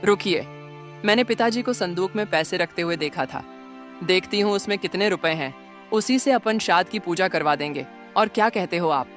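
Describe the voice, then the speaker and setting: A woman's voice: lowish voice, normal speed, faint music behind, lightly acted. A woman playing a calculating elder daughter-in-law in a cartoon moral story.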